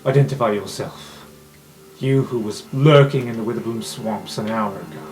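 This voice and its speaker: A man's voice: fairly low pitch, moderate pace, a little mellow, soft background music, close to an everyday voice. A man voicing a stern, imperious vampire professor who speaks formally and with menace in a tabletop game. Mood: cold, suspicious. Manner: commanding, threatening, formal.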